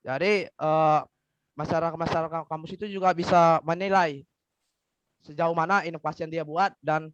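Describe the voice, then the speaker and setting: A man's voice: fairly high pitch, steady tone, normal speed, dull audio, background noise. A male student explaining his answer in a class debate over a video call, with a slight cough and many fillers. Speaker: student presenter.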